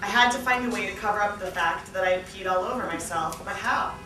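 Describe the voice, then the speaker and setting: A young adult woman giving an animated, comedic live reading of a memoir to an event, performing the dialogue as she goes. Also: mid-range voice, fast. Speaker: guest reader at a book launch. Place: small theatre stage at a lectern, indoors. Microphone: distant camera. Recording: roomy, noisy, distant event recording.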